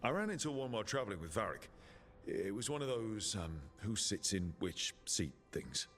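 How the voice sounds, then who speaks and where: A man's voice: lowish voice, big pitch swings, normal speed, fairly bright tone, slight background noise. A man playing an easygoing, inquisitive adventurer in a video game, conversational and dryly playful. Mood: wry. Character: easygoing, curious male adventurer from an assassin guild. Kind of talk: video game dialogue acting. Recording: music under the dialogue. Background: game music.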